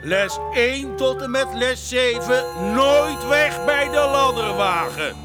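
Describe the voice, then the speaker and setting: An angry, rule-bound fire chief in a children's radio play. His voice is pitched high and he scolds sternly. Loud music plays along underneath. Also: lively intonation, slow, full character voice. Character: angry, strict, rule-bound male fire station commander.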